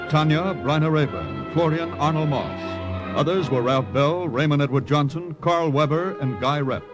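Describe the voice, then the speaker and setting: A man's voice: a little high, normal speed. A man announcing in a formal, declarative old-time radio style, reading scripted credits. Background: orchestral music.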